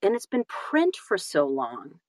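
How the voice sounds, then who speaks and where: Animated, halting woman, dry close sound. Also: lowish voice, dry recording. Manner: animated, emphatic, halting with repeated words. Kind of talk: casual conversation about the audiobook business.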